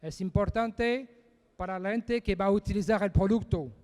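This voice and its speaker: High-voiced man in an echoey hall. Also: darkish tone. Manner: halting, searching for words in a second language. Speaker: conference speaker. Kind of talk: technical presentation.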